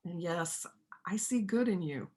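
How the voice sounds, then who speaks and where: A woman performing a reader's theater part as a gentle but firm pacifist country vet, earnest and plain-spoken. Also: lowish voice, lively intonation, measured pace. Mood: persuasive. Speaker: amateur church play performer.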